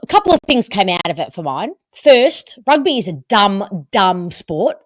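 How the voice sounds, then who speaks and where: Animated woman, dry close sound. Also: mid-range voice, normal speed, no room sound. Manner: animated, emphatic, repeats words for effect. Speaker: podcast host. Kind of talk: casual sports chat.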